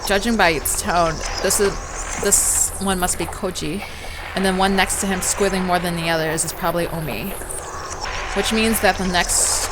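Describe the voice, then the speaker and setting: A woman's voice: lowish voice, fairly even intonation, normal speed, very noisy. A young woman doing a casual let's play, chatting in slang and reading visual novel text aloud with small stumbles. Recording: loud music behind the voice.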